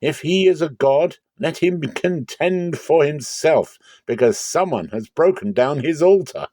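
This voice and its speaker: A man voicing a defiant father who loudly challenges a mob in a dramatic scripture reading. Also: a little high, expressive, a little slow, no echo, studio quality, voice pushed up. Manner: loud, challenging, declamatory.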